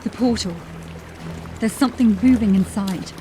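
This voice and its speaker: An actress playing a watchful, serious scout in a fantasy audio drama, speaking with uneasy wonder. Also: female voice, medium pitch, normal speed, natural voice. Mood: tense. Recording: music under the voice.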